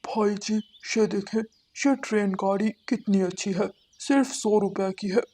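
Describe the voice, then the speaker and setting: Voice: mid-range voice, a little slow, a little mellow. A performer voicing an eager male bird who sells toys, polite and coaxing, in a moral-story cartoon. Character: eager male bird toy-shop salesman.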